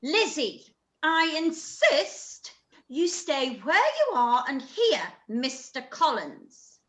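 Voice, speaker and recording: Excitable, bossy matchmaking mother, wildly swooping pitch, drawn-out delivery, theatrical period radio style. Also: exaggerated voice. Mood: insistent. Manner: gushing, theatrical, insistent. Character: fussy, bossy, excitable middle-aged mother matchmaking her daughters. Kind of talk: period drama live-read radio play. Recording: echoey room.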